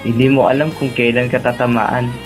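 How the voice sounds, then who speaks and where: A man's voice: medium pitch, flat delivery, fairly quick, close to an everyday voice. Man acting a wistful, lovelorn young Filipino, music behind. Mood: loving confession. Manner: earnest, tender, wistful. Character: gentle, lovelorn young man fading from a dream world. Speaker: voice actor in a radio drama. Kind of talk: dramatic romantic dialogue.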